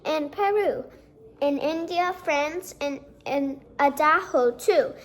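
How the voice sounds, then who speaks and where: Woman as a fussy, high-pitched school principal. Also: slow pace. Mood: pondering. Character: wise, self-important male school principal, earnest and fussy.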